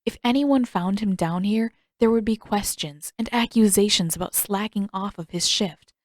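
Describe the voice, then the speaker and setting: A woman narrates fiction in the manner of an audiobook, reading from a script. Her voice sits at a middle pitch. The recording is dry, with hardly any room sound around her. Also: normal speed, darkish tone, no room sound.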